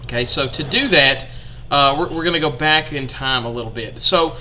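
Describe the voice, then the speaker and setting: Brief: folksy Alabama man, young, livestream teacher, bright forward voice, faint background hiss. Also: medium pitch, moderate pace. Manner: chatty, folksy, addresses students as kiddos. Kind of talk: livestreamed history lesson.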